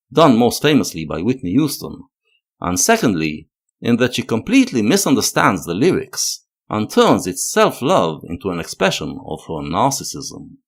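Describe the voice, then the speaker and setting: A man narrating a scripted analytical video essay in a measured, expressive way. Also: on the low side.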